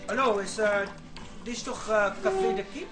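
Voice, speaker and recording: A man's voice: sits high, sing-song, normal speed, music in the background. An actor playing a shy, polite young Moroccan man in a Dutch radio play, hesitant and unsure. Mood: nervous, polite.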